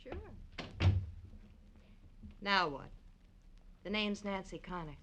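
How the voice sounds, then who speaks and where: An actress playing a flirtatious, cynical American dame in a 1940s radio crime drama, wry and teasing. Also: female voice, lowish voice, slow pace, sharp tone. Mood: flirty, amused. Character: brassy, flirtatious, cynical dame, cool under pressure.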